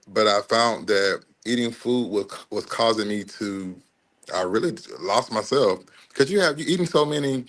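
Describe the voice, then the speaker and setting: A man talking in a casual panel chat over a remote call, halting and restarting his sentences. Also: fairly low pitch, fairly bright tone.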